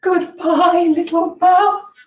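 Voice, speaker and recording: A woman's voice: very high-pitched, little pitch movement, takes it slowly, voice pushed up. A woman voicing timid, politely fretful woodland creatures from a storybook, sounding fluttery and alarmed. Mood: nervous. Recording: roomy, distant, dull sound.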